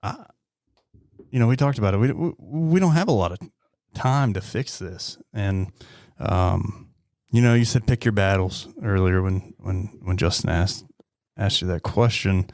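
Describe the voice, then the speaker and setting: Blunt man, halting, close dry sound. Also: sits low, darkish tone, dry recording. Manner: halting, repeats words, blunt. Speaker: podcast host, police officer. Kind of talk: heartfelt interview talk.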